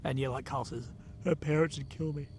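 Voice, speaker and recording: A man's voice: on the high side, a little fast, a little hiss or hum. A young man with a blocked-up nose, rambling casually on a live stream and reading out comments, a bit unwell. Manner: relaxed, rambling, lots of fillers and "like". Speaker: livestreamer reporting from a conflict zone. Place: outdoors at night in a war zone.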